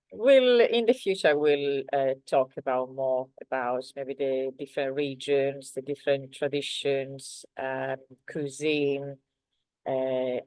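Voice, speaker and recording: A woman's voice: very deep voice, no room sound, poor audio. A woman from Sardinia with an Italian accent, a native Italian speaker, teaching an online lesson in a hesitant way full of fillers. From Sardinia, Italy. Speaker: language teacher.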